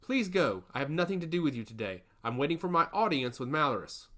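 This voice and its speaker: A man's voice: a little high, sing-song, fast, a bit bright, voice pushed up. A man voicing an aloof young priestess in a fantasy story, dismissive, dry and patronizing.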